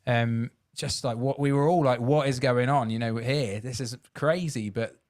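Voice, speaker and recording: A man with a Southern English accent hosts a loose, friendly chat, laughing easily between rambling questions. His voice sits at a middle pitch for a man. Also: steady tone, normal speed, darkish tone. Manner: chatty and giggly, asks rambling questions. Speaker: podcast host. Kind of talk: casual podcast chat.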